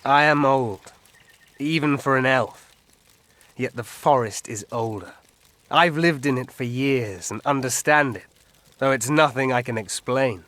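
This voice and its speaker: A mid-pitched male actor as an old elf of the woods in a fantasy game. He sounds world-weary, cryptic and musing, with a slightly drunken drawl. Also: unhurried, fairly bright tone, lightly acted. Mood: aloof, matter-of-fact. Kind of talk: fantasy video game dialogue.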